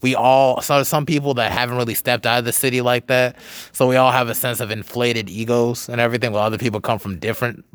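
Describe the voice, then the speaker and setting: A man's voice: mid-range voice, level tone, fast talker, dry recording. A young man hosting a podcast, talking casually and rambling with many fillers and restarts. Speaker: podcast host. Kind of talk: casual conversation.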